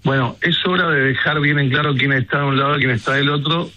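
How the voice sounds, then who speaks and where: A man's voice: mid-range voice, steady tone, fast talker, pushed and bright, highs cut off. An Argentine man speaking as an interview guest, indignant and emphatic, with rhetorical turns. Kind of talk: emphatic opinion in a radio interview.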